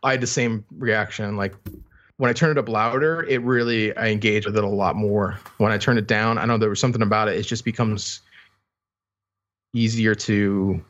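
A man with a slightly low voice in a relaxed album discussion. He sounds like a podcast co-host, conversational and a little tentative in how he words things. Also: normal speed, lo-fi sound.

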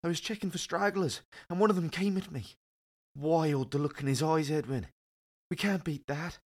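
An actor playing a wounded Saxon fighter in an audio drama, bleak, haunted and resigned to defeat. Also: male voice, on the high side, normal speed, close to an everyday voice. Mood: bitter despair.

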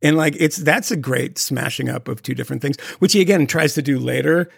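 A man's voice: a little high, fairly quick, gravelly, husky, no room sound, studio quality. A man co-hosting a podcast, chatting casually and laughing often. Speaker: podcast co-host. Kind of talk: casual chat.

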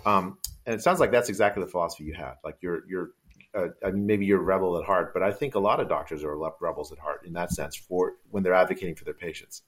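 A middle-aged man hosting a podcast, his voice a little low in pitch. He speaks haltingly, often restarting his sentences as he works his way to a question. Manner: halting, full of restarts and fillers. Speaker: podcast host, professor. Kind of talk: conversational interview.

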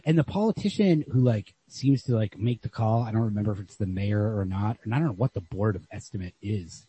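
A man with a notably husky, breathy voice and a soft, mellow tone talks through literature as a podcast co-host. His delivery is wry and analytical, casually peppered with "like." The recording is lo-fi and very dry, with no room sound. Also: on the low side, fairly quick, dry recording.

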